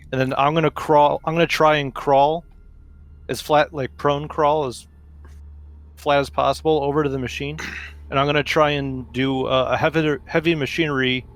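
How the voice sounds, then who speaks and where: A man playing a tabletop roleplaying game, casually talking through plans with the group. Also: mid-range voice.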